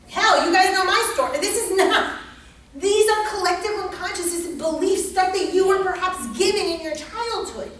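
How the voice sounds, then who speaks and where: High-voiced woman lecturing in an echoey room. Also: fairly bright tone. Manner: emphatic, probing, repeats phrases. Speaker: lecturer and group leader. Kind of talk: interactive psychology lecture. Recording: echoey room, distant mic, faint noise.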